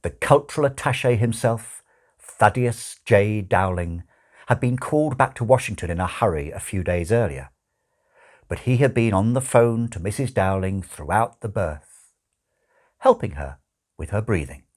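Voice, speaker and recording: A man narrating a comic novel as an audiobook, with wry, expressive storytelling. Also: fairly low pitch, moderate pace. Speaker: audiobook reader.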